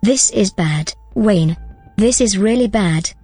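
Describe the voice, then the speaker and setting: An actress playing a protective, secretive AI companion in a science-fiction audio drama, earnest and cautioning. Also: female voice, mid-range voice, takes it slowly, mellow, slightly rough, dry recording, soft background music. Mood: worried. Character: protective, secretive female artificial intelligence companion.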